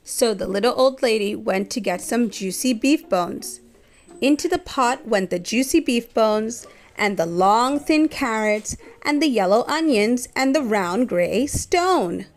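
A woman reading a children's story aloud in an expressive, measured storytelling way. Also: a little high, faint music behind. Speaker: storybook reader.

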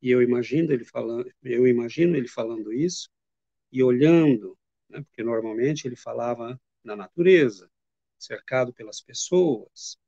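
A middle-aged man giving a devotional spiritist lecture from his home study, speaking in a measured, earnest and reflective way with pauses. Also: medium pitch, gravelly, mellow, no echo. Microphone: webcam video call. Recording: muffled lo-fi video call audio.

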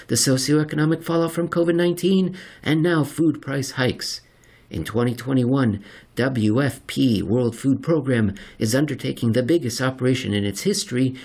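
A man with a slightly husky voice narrates a written article in a deliberate, measured way with dramatic pauses. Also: mid-range voice, a little mellow. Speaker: narrator reading an article aloud. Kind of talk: scripted narration of an economics article.

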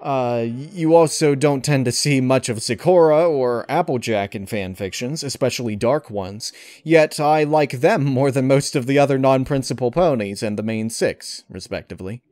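A man answering interview questions in a thoughtful, articulate way, with formal and deliberate wording. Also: medium pitch, a little mellow, no room sound. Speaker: channel host and audio story reader being interviewed.

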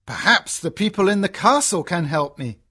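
A woman voicing a kindly, earnest middle-aged father in a storybook, with a husky voice. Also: on the low side, expressive, moderate pace, sharp tone, exaggerated voice. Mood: worried. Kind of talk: graded storybook audiobook character voice.

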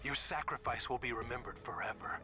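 A man as a calm, fanatical cult zealot, distant. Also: mid-range voice, a little fast, over music, close to an everyday voice. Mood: serene menace. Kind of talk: video game voice acting.

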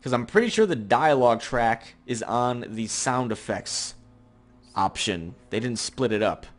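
A man's voice: medium pitch, lively intonation, a little slow. Rambling, grumbling young man over game music. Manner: rambling, sarcastic, grumbling, sweary. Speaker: gaming video host. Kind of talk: casual gameplay commentary. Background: game music and effects.

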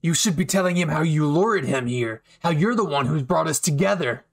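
A man's voice: fairly high pitch, animated, brisk. A man playing an unhinged, bitter anime villain with a menacing, taunting manner, nursing an old betrayal in a dramatic fan roleplay. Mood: quiet, menacing. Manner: menacing, taunting, swinging from quiet to outbursts.

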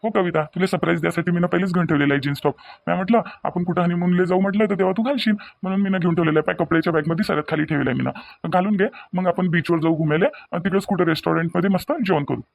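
Man acting a high-pitched, coaxing young cartoon husband. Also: fairly even intonation, very fast, gravelly, hardly any pauses, no echo. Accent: Vidarbha Marathi (Varhadi). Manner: insistent, repetitive coaxing. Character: young newlywed husband, reassuring, doting, a little impatient. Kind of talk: cartoon dialogue acting in colloquial dialect.

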